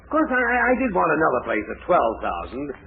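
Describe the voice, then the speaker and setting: A blustery, scheming storekeeper in an old radio comedy. His high voice swoops wildly as he cajoles. It sounds like a narrow 1940s broadcast with an audience behind it. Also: wildly swooping pitch, fairly quick, vocal fry, heavily acted. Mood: breezy, smug. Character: blustery, scheming older male storekeeper, breezily self-serving.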